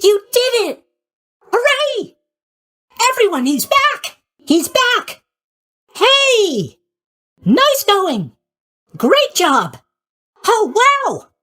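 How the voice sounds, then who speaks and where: Casting: a boyish, naive god of sleep in a video game, extremely high-pitched and wildly swooping, bubbly and scatterbrained. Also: male voice, huge pitch swings, extremely slow, pauses often, bright, forceful tone, exaggerated voice. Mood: cheerful greeting. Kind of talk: animated video game voice acting.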